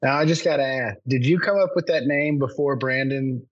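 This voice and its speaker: A man's voice: medium pitch, fairly quick, highs cut off. A man hosting a podcast, chatting casually with a guest and often restarting his sentences. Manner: chatty, rambling with frequent restarts. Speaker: podcast host. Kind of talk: casual interview chat.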